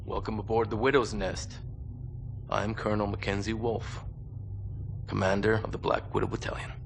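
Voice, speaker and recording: A battle-worn military commander, with a low, creaky voice. He speaks in measured, authoritative game-cutscene style, with faint noise behind him. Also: level tone. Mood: measured, serious. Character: battle-worn male mercenary colonel, commanding, measured, guarded. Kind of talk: dramatic game acting.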